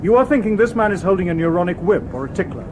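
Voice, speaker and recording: An actor playing a calm, courteous humanlike robot detective in precise, formal speech, in an old radio drama. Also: male voice, high voice, noisy background. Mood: commanding. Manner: formal, precise, measured, unemotional wording.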